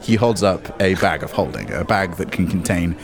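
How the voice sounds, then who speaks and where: A man's voice: deep voice, expressive, moderate pace, over music. A man casually narrating and explaining rules as game master of a tabletop roleplaying game.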